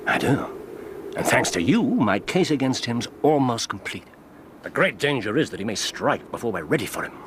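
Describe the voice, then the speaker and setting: An English actor playing a brilliant, self-assured detective in a radio drama, with a precise, mercurial, teasing manner. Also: male voice, medium pitch, big pitch swings, normal speed, heavy background noise, lightly acted. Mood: grave, intent.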